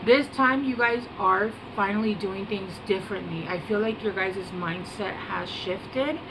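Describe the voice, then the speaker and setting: A woman talks her viewers through a tarot reading in a soft, unhurried voice of middling pitch. She wanders off track, pauses to search for words and fills the gaps with little hesitations. A steady air-conditioner hum runs under her voice in a room at home. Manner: soft-spoken, meandering, hesitant with many fillers. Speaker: tarot reader. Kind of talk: casual rambling tarot reading to camera.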